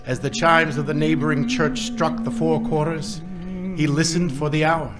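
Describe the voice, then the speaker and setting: Higher-voiced man narrating a radio play. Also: fairly even intonation, normal speed. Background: music.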